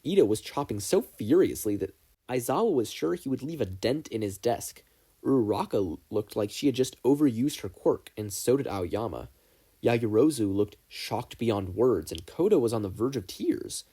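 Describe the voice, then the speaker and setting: A man's voice: medium pitch, animated, moderate pace, dark tone, breathy edge. A man narrating a story as a reader in a scripted audiobook style. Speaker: podfic narrator.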